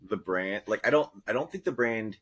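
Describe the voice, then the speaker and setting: A man's voice: fairly low pitch, moderate pace. A young man from the Pacific Northwest, a podcast guest, chatting casually and rambling with lots of fillers. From Vancouver, Washington. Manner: relaxed, rambling with many fillers. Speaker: podcast guest, snowboard instructor. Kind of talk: casual chat.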